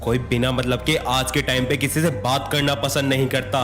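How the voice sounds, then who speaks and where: A man's voice: medium pitch, little pitch movement, brisk, music in the background, natural voice. A man playing a put-upon young husband of modest means in a dramatised moral story, protesting in an exasperated, reproachful manner. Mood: suspicious.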